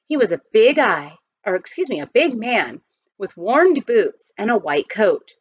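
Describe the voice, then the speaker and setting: A woman giving an expressive scripted narration of a children's novel. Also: mid-range voice, a little slow, breathy edge, lo-fi sound. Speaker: storybook reader.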